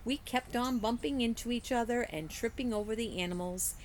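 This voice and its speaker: A woman reading a children's story aloud like a teacher, in a deliberate, careful storytelling way. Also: a little high, darkish tone, slight background noise. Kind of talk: expressive children's story narration.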